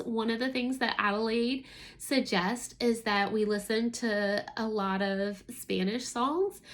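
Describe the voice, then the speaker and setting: A woman, a homeschooling mother, giving an enthusiastic, casual product review in a talking-to-camera style. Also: on the high side.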